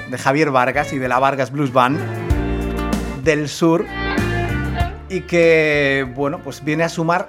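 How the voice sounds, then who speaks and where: A male radio host leads a music interview, his questions winding along with restarts and fillers. His voice sits slightly high for a man. Faint guitar music plays behind him. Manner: rambling, with many restarts and fillers.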